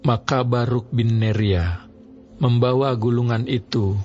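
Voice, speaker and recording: A man narrating a Bible reading in a slow, measured, even manner. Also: medium pitch, muffled recording. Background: music.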